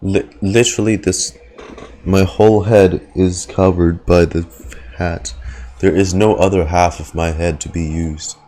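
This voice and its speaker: A man's voice: low voice, fairly even intonation, unhurried, mellow, a little hiss or hum. A man doing casual, joking reaction commentary as a game streamer. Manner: playful, joking, mimics sound effects.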